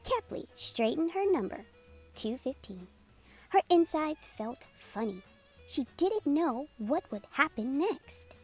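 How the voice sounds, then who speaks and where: A woman reading a children's picture book aloud as a storytime host, in an expressive, unhurried storytelling manner. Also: high-pitched. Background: music playing under the voice.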